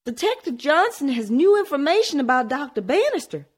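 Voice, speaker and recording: A woman doing a high, strained anxious mother. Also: very animated, brisk. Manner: shrill and strained, sharp when scolding. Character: anxious wealthy mother, forcing composure.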